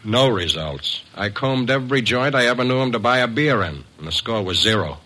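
An actor in a classic radio drama playing a worried, plain-spoken middle-aged boxing manager, earnest and slangy. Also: male voice, mid-range voice, expressive, a little fast, sharp tone. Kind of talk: 1940s-50s radio drama acting.

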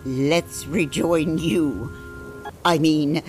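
A woman's voice: low-pitched, extremely slow, a little mellow, faint music behind. A woman narrating a fantasy audio drama in a storybook style.

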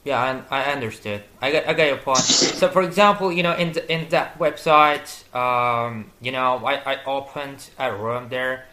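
A man's voice: mid-range voice, normal speed, hardly any pauses, bright, forceful tone, poor audio. Hesitant man, non-native English speaker. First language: a language close to Turkish. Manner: hesitant, many restarts and fillers. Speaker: English learner asking questions. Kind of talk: casual conversation.

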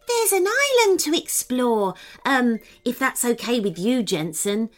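A woman voicing a gentle, curious toy companion in a children's story, polite and childlike. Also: fairly high pitch, very animated, measured pace. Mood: eager. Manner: polite, concerned.

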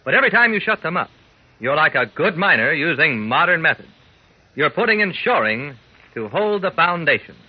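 Husky, animated vintage radio man. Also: fairly high pitch, fairly quick. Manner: earnest, folksy, emphatic. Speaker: radio announcer. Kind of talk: 1940s radio public-service talk to young listeners. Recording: muffled old radio recording.

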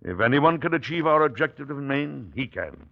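An actor playing a dignified, genial middle-aged American president in an old-time radio drama, speaking in a measured, formal way. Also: male voice, medium pitch, expressive, vocal fry. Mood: gracious, measured. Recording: dull vintage radio recording.

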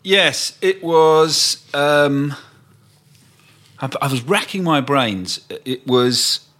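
A man, a podcast guest recalling old memories in a halting, thoughtful way. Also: on the high side, lively intonation, a bit bright. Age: 60s. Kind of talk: casual reminiscing chat.

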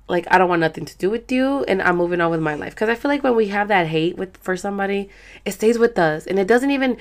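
A young adult woman with a Salvadoran accent, hosting a podcast in a chatty, slangy, rambling way with lots of fillers. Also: mid-range voice. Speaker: podcast host. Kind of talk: casual chat.

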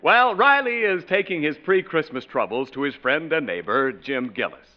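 Vintage American radio announcer, male, scripted narration with animated delivery and slightly muffled period sound. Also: on the high side, normal speed, soft background music.

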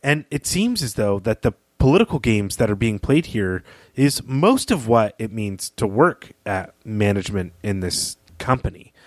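A man with a fairly low voice co-hosts a literary podcast. He analyses fiction in a reflective, opinionated way. The recording is dry, free of room echo. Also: very animated, moderate pace. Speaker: podcast co-host.

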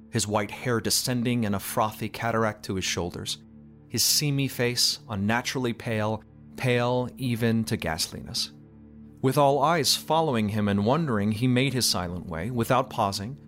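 A man's voice: mid-range voice, fairly bright tone. A man reading a literary story as narrator, in a dramatic audiobook style. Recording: music behind the voice. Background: background music.

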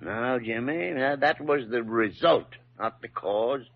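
A man acting an older senior hospital doctor in a 1940s radio drama, gruff but fair, speaking in a halting, folksy, emphatic way. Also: mid-range voice, a little hiss or hum. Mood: firm, gruff. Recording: dull old radio recording.

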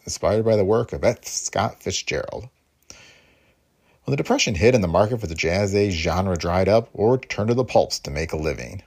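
A man with a husky, gravelly voice reading a scripted podcast introduction as its host. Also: deep voice.

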